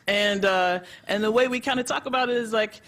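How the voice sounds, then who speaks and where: A woman from the United States, a nonprofit executive director, speaking on a conference panel in an animated, chatty way with lots of laughs and fillers. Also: on the high side, brisk.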